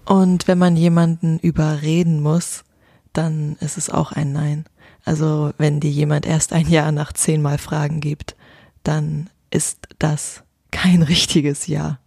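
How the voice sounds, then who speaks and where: A woman co-hosts a casual podcast and talks in a frank, chatty way, laughing often. Her voice has a gravelly edge and sounds dry and close to the mic. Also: deep voice, flat delivery, takes it slowly, mellow, dry recording. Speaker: podcast co-host.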